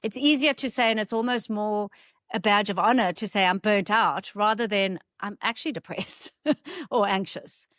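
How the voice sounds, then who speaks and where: South African woman, measured explainer, dry close podcast mic. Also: mid-range voice, fairly bright tone, dry recording. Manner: thoughtful, explanatory. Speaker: guest psychologist. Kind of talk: podcast interview.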